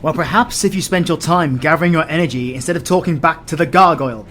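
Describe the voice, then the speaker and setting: A man's voice: fairly high pitch, quick pace, fairly bright tone, lightly acted. A man with a British accent playing a stern, sardonic demon hunter who mentors his apprentice in a fantasy audio roleplay, dry and commanding. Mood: urgent, exasperated. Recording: music behind the voice. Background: music.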